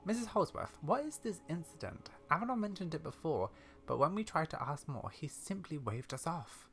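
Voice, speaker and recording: A man's voice: a little high, lively intonation, moderate pace, a bit bright, pitched above the performer's own voice. A man voicing a plucky, curious young adventurer girl in a game, eager and dramatic. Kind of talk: game dialogue read aloud in character.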